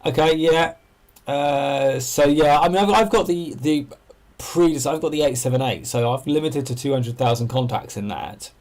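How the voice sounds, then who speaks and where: A man's voice: a little high, normal speed, darkish tone, slight background noise. A man hosting an amateur radio livestream, chatting casually and thinking aloud as he explains things and answers viewers.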